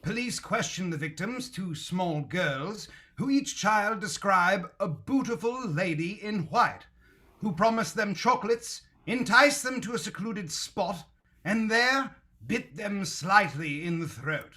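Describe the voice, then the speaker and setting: A high, bright, dramatic radio-play voice. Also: male voice, lively intonation, takes it slowly, exaggerated voice. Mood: ominous reporting.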